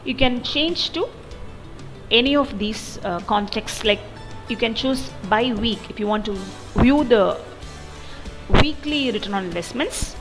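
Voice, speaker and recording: High-pitched woman presenting a tutorial. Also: animated, a little slow. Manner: methodical, step-by-step explaining. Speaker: software demo presenter. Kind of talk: explanatory tutorial narration. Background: background music.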